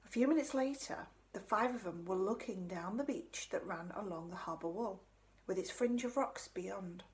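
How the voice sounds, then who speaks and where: A woman's voice: mid-range voice, normal speed. A woman in her thirties reading a children's story aloud. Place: indoor room at home.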